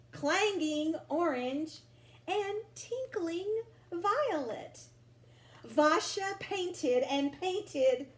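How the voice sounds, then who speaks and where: A woman reading a children's picture book aloud expressively, drawing out words with deliberate, dramatic phrasing. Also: high voice. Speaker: storybook reader. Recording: roomy home recording with faint noise.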